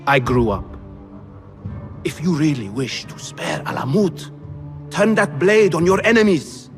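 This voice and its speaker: An actor playing a shrewd, proud middle-aged male governor in a video game, deliberate, dry and haughty. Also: on the high side. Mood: weary, defiant. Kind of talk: cinematic video game acting. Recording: music behind the voice. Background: music.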